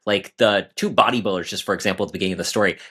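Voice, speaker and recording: A man's voice: low voice, rapid-fire, a bit bright, breathy edge. A man speaking casually as an author guest in a podcast interview.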